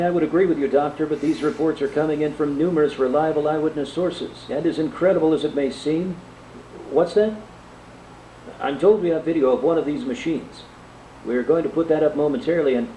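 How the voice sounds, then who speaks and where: Man acting as mellow newscaster in echoey room. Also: fairly high pitch, fairly quick, lightly acted. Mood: uneasy, formal. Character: flustered male TV news anchor covering a crisis. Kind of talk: live newscast radio drama acting. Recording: echoey room playback, faint noise.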